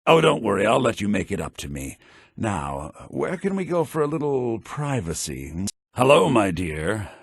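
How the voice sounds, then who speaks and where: An actor playing a pompous, lecherous and scheming wealthy merchant in a fantasy video game, with a raspy voice. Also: male voice, fairly low pitch, sing-song, normal speed, no echo, studio quality, heavily acted. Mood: lecherous, smug. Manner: pompous, smug, theatrical.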